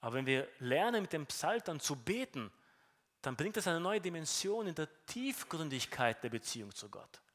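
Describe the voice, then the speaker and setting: A man with a fairly high voice and a Romanian accent delivers a sermon. He speaks earnestly, explaining and asking questions of his listeners. He often repeats a word as he restarts a thought. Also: sing-song, moderate pace, a bit bright. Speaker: preacher.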